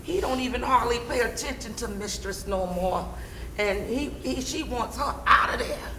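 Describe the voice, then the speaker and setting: Enslaved messenger played by a woman: gossipy, halting Southern period dialect, stage acting, roomy sound, faint noise. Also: on the high side, bright, forceful tone. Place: stage of a theatre or hall.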